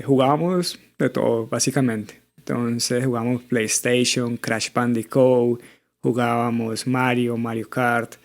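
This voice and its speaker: A man's voice: medium pitch, vocal fry, darkish tone. A man in his thirties from Colombia with a Bogotá accent, answering interview questions thoughtfully with many small fillers. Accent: Colombian Spanish, Bogotá. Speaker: guest. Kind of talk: conversational interview.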